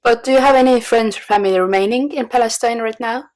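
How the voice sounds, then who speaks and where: A woman's voice: on the high side, quick pace, darkish tone. A woman hosting a podcast, introducing and putting questions to a guest. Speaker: podcast host. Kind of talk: interview questions.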